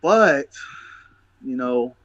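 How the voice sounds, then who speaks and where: A man's voice: medium pitch, animated, drawn-out words, fairly bright tone, dry recording, highs cut off. A man talking emphatically about faith as a host, often repeating words as he builds a point. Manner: preachy, emphatic, repeats himself. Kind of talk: casual chat.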